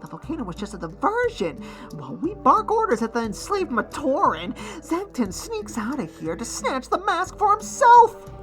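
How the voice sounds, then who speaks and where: A bright, high-pitched villain henchman voiced by an actor in a cartoon fan dub. He is hot-tempered and sarcastic, hurling scornful lines with forceful edge over faint music. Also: huge pitch swings, moderate pace, full character voice. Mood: scheming, excited.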